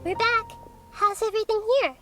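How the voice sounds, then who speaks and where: A woman's voice: very high voice, moderate pace, sharp tone. A woman voicing a tiny, chatty, fairy-like girl companion in a game, with music behind her. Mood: curious.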